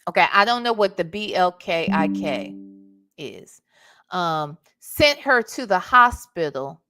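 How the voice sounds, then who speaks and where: A woman's voice: on the low side, big pitch swings, takes it slowly. An American woman hosting a casual live reaction show, blunt, sassy and profane, with emphatic rhetorical questions. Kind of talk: casual live commentary with chat.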